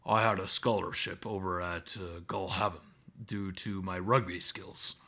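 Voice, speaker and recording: A man playing a calm, blunt anthro student medic in a visual novel, speaking in a measured, clinical way. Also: sits low, creaky, exaggerated voice. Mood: matter-of-fact.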